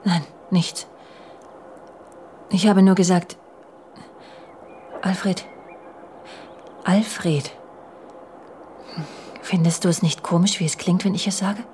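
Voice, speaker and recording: A hushed, mellow, brooding wife. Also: female voice, fairly low pitch, fairly even intonation, slight background noise. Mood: brooding, needling. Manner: hushed, halting, often dropping into whispers. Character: brooding, suspicious middle-aged wife named Ursula. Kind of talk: intimate German radio drama acting, dialogue and inner monologue.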